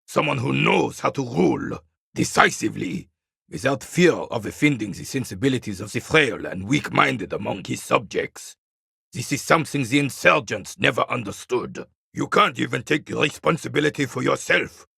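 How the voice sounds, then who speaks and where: A proud old war veteran from a video game, with a heavily creaking, husky voice that swings widely in pitch. He speaks like a formal old soldier, haughty and embittered, grumbling at those beneath him. The sound is dry and close. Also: lively intonation, moderate pace, pushed and bright, no room sound, heavily acted. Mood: proud, lecturing. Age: older. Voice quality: gravelly, raspy, husky. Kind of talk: dramatic video game character acting.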